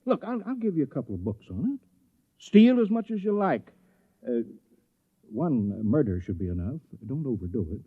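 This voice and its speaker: An actor playing an anxious, fussy small-town mayor in a vintage radio play, coaxing and flustered. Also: male voice, mid-range voice, sing-song, moderate pace, breathy edge. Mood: earnest, officious. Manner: flustered, hesitant, pleading. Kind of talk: mid-century radio comedy-drama acting. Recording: muffled vintage radio recording.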